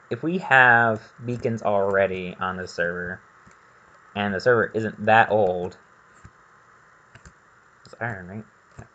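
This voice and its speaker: A man's voice: on the low side, sharp tone, dull audio. A man doing casual gameplay commentary, rambling and thinking aloud as he goes. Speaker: gaming video host.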